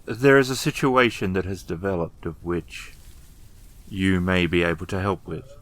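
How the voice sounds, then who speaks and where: A man playing a formal, courteous samurai gate guard in a tabletop game, speaking deliberately. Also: low voice, a bit bright.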